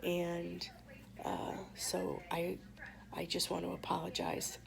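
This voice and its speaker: A woman vlogger speaks to camera with a hoarse, heavily gravelly voice that sits a little low. She tells her story softly and haltingly, pausing often. A crowd murmurs behind her. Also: monotone, rough recording. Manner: soft, halting, with many pauses and fillers.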